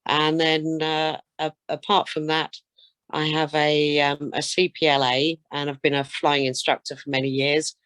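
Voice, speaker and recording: A woman's voice: deep voice, flat delivery, measured pace, a bit bright, dry recording. A British woman getting over a cold, introducing herself and chatting casually in a hesitant, self-effacing way. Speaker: air traffic controller, flying instructor and radio examiner.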